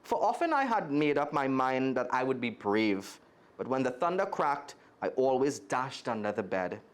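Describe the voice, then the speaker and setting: A man's voice: mid-range voice, very animated, moderate pace. A man with a Trinidadian accent reading a children's story aloud expressively and speaking directly to young listeners. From Trinidad and Tobago. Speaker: guest storyteller.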